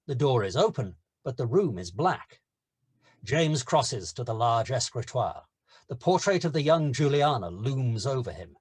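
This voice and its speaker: A man's voice: mid-range voice, a little mellow, husky. A man narrating stage directions in a scripted screenplay table read.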